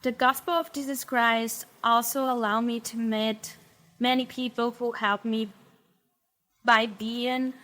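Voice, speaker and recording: A young Brazilian woman tells her faith story in unhurried, carefully chosen English, with her Portuguese accent clear throughout. Her voice sits somewhat high, and she often pauses to find the right word. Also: fairly bright tone. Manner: slow, careful, searching for English words. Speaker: interview guest. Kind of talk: personal faith testimony.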